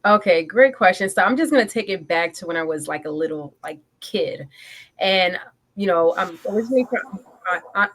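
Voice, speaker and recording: A woman's voice: on the low side, expressive, normal speed, a bit bright. A woman in her forties from Guyana, a guest on a podcast interview, talking eagerly and energetically, often stopping to correct herself. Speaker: guest, business coach and military veteran.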